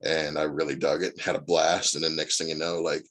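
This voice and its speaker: Low-voiced husky man telling stories. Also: little pitch movement, fairly quick, a bit bright. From Indiana, USA. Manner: rambling storyteller with many fillers. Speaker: guest actor. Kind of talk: casual interview chat.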